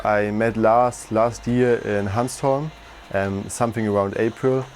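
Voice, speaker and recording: A man's voice: fairly low pitch, unhurried. A man, talking casually and using "like" a lot. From Germany. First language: German. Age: 20s. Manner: relaxed, uses "like" a lot.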